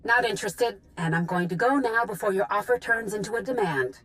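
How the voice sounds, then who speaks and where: An actress playing a weary, defiant young rebel in a video game, plain-spoken and guarded. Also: female voice, mid-range voice, animated, fairly quick, a bit bright, close to an everyday voice. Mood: firm. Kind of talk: video game dialogue acting. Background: music.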